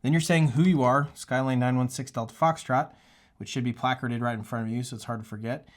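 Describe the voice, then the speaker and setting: A man's voice: medium pitch, fairly even intonation, a little fast. A man speaking casually and earnestly, like an instructor hosting a tutorial, with a reassuring, encouraging manner. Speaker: pilot and aviation tutorial host. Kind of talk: casual, encouraging advice talk to camera.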